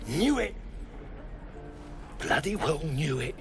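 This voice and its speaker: A bully in a fantasy game, full of threat and temper. He hectors in a high male voice over faint music. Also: sing-song, slow, vocal fry, fairly bright tone, full character voice. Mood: indignant anger. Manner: menacing, hectoring.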